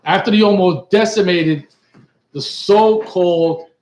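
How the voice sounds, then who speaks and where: Casting: middle-aged man from Puerto Rico, emphatic class leader, a touch high-voiced, echoey webcam sound. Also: a little slow, darkish tone. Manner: emphatic, repeats points and asks "right?" often. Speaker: teacher leading a class. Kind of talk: bilingual religious class talk. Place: indoor studio set with printed backdrop. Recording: echoey room.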